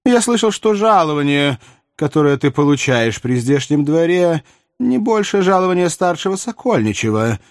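A man voicing a cunning, flattering French king in a historical audiobook, wheedling, exclamatory and ironic. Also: fairly high pitch, normal speed, no echo, clean studio sound, voice pushed up. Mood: aggrieved flattery. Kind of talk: dramatized audiobook reading of a historical novel.

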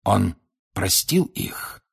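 A man voicing a grim older wizard in an audiobook, questioning in an insistent, deliberate and menacing way. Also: deep voice, very animated, gravelly, husky, full character voice. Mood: probing. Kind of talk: audiobook character acting.

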